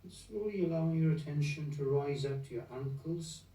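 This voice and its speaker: A man leading a guided meditation in a gentle, unhurried, soothing manner, leaving long pauses between phrases. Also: on the high side. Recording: roomy echo, distant from the mic.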